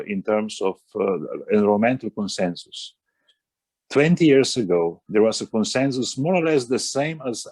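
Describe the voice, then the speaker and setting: A man speaking on a roundtable panel, halting and searching for words with frequent pauses and restarts. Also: fairly low pitch, a little mellow, dry recording. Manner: halting, many fillers and restarts. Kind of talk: roundtable discussion.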